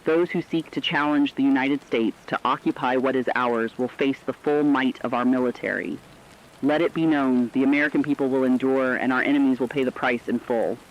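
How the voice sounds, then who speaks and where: A man playing a grave radio correspondent, reading war news in a solemn, forceful, declamatory scripted style. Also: mid-range voice, steady tone, a little fast, dull audio, slight background noise, natural voice. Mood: defiant, resolute. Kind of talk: scripted dramatic news broadcast.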